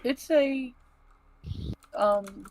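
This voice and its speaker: A woman on a podcast panel chatting casually, halting as she searches for words. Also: a little high, a little mellow, slight background noise, low-quality recording. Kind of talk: casual chat.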